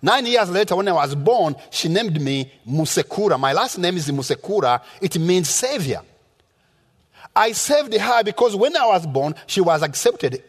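High-pitched Rwandan man preaching in a hall. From rural Rwanda. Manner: emphatic, teaching with repetition and rhetorical questions. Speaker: guest preacher. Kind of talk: sermon with personal testimony. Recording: reverberant hall sound.

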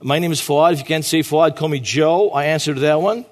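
Guest preacher, man in his 40s, high voice, long church reverb. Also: a little fast. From Lebanon. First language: Arabic. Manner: emphatic, repeats key phrases. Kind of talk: sermon.

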